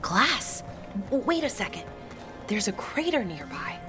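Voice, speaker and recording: Spirited, lively-voiced woman ranger, loud music. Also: medium pitch, a little slow. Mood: urgent, alert. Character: spirited female veil ranger, protective, indignant, quick to act. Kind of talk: video game voice acting.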